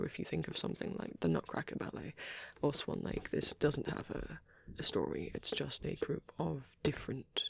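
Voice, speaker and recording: A shy vampire boy, played by a man, rambles softly in an ASMR roleplay. His voice is low-key and gravelly with fry, hesitant and bashful. It sounds very close and dry, often sinking toward a whisper. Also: no echo, full character voice. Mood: quiet, musing. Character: shy, soft-spoken vampire boy on a date. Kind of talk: rambling intimate ASMR chat.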